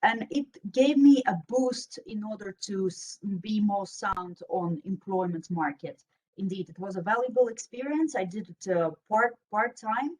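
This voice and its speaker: A woman's voice: medium pitch, a little slow, darkish tone, low-quality recording. A young woman from Russia, a native Russian speaker with a Russian accent, giving an informal career presentation on an online panel. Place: online video meeting with screen sharing.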